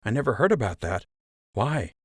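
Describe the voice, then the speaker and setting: A man's voice: mid-range voice, sing-song, normal speed, no echo, clean studio sound, voice pushed up. A man voicing an earnest young male rabbit archer in an audiobook, sincere and inquisitive. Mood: curious.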